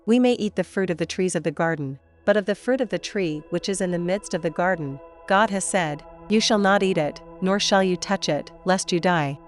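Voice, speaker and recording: A woman's voice: fairly low pitch, moderate pace, hardly any pauses, lightly acted. A woman playing an innocent, earnest first woman in a dramatized Bible audio production. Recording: background music under the voice.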